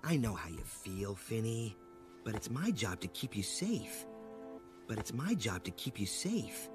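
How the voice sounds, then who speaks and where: Excitable furry cartoon dad, loud music. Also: male voice, on the low side, unhurried. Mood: reassuring. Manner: reassuring, excitable. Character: upbeat protective father creature, purple furry oddball animal. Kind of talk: animated film acting.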